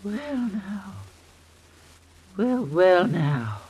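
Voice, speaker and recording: A woman's voice: medium pitch, very animated, drawn-out words, mellow, breathy edge, exaggerated voice. An actress playing a brassy, sly, gossipy female lodging-house keeper, theatrical and cajoling, in an old radio drama. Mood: sly, coaxing.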